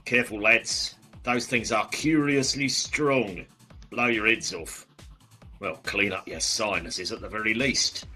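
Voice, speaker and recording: An actor playing a gruff, crude, cynical male police detective, talking in a dry, sarcastic way. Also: on the low side, measured pace, pushed and bright, faint music behind, heavily acted. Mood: sneering.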